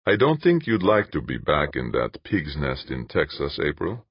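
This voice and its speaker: A man voicing a smug, menacing male captor who speaks slowly and condescendingly. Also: rumbling low, big pitch swings, slightly rough, dry recording, muffled recording, full character voice.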